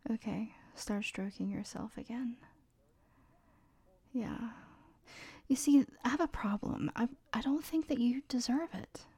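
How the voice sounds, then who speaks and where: A young woman whispers close to the mic in a breathy, mellow voice. She talks very slowly, teasing and giving orders with little laughs in between. The sound is dry and intimate, with no room around it. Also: fairly high pitch, lively intonation, no echo. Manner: mostly whispered; teasing and commanding, with soft laughs. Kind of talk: whispered audio roleplay.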